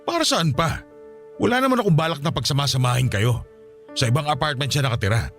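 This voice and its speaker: A married philanderer in a radio drama, defensive and pleading with his mistresses. His pitch swings widely as he argues, over soft background music. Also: lively intonation, quick pace. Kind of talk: Filipino radio drama acting.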